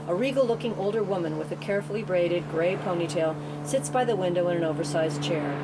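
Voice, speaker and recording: Woman reading a script out loud as narrator, lowish voice, noisy rumble behind her. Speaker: narrator reading stage directions. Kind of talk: scripted narration at table read. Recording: clear background rumble and room noise.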